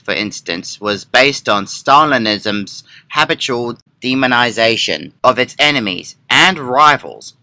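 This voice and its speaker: A man's voice: mid-range voice, sharp tone, no room sound, low-quality recording. A man reading scripted narration in the manner of a political commentary. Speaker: narrator and presenter of an upload.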